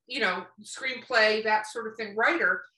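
A woman podcast host with a high, bright voice, heard a little way from the mic in a roomy echo. She chats casually and bluntly, leaning hard on her opinions and swearing freely. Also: unhurried, recorded from a distance.

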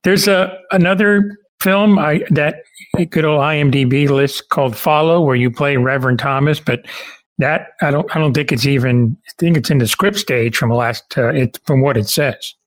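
A man's voice: fairly high pitch, moderate pace, poor audio. A man interviewing a guest in a casual, informal style, feeling his way through his questions.

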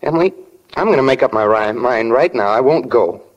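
An actor playing an earnest, bashful small-town teenage boy in love, halting and sincere, in a 1940s radio drama. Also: medium pitch, lively intonation, highs cut off, close to an everyday voice. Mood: earnest, shy.

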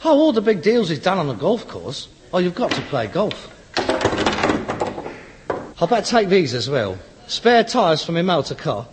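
A man's voice: high-pitched, wildly swooping pitch, moderate pace, background noise. An actor playing a frustrated, pretentious rag-and-bone man of about forty with a working-class London accent, exasperated, grandiose and sarcastic in a sitcom. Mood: pompous. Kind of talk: scripted sitcom acting. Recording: reverberant hall, old sitcom recording.

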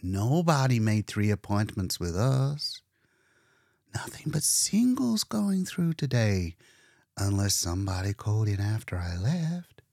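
A man's voice: fairly low pitch, big pitch swings, unhurried, vocal fry, darkish tone, no room sound, studio quality, full character voice. A man voicing a demure, humble, earnest woman in a storybook reading, shy and folksy in manner. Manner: shy, plain-spoken, folksy.

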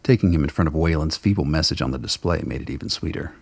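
A man narrating a radio play in a scripted, dramatic storytelling style with a gravelly, husky voice. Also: a bass voice, fast talker, darkish tone, no echo.

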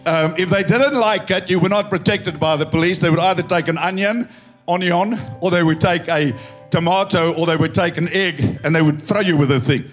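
High-voiced South African pastor, tired voice. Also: male voice, normal speed, faint music behind. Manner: emphatic, storytelling, swelling to loud proclamation. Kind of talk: impassioned sermon mixing English and Afrikaans. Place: church.

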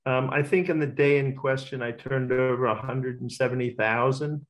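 A man's voice: mid-range voice, steady tone, normal speed, rough recording. A middle-aged man, talkative and self-assured, giving casual interview answers as a racecourse bookmaker. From Australia.

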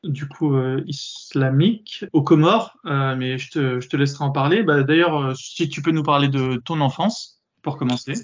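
A man's voice: mid-range voice, normal speed, hardly any pauses, dull audio. A man hosting a podcast interview, talking hesitantly with many fillers and restarts. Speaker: podcast host. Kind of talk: casual interview.

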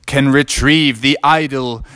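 A man doing a slow, stately old knight. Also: mid-range voice, steady tone, sharp tone, clean tone, no echo. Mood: grand. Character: solemn old male knight guarding a treasure.

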